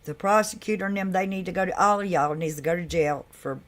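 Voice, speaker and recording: A woman hosting a live stream in a loose, chatty way, with a deep voice that carries. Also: fast. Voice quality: deep, carrying voice. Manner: loose and chatty, with laughs and asides to viewers. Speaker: livestream host. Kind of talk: casual live chat.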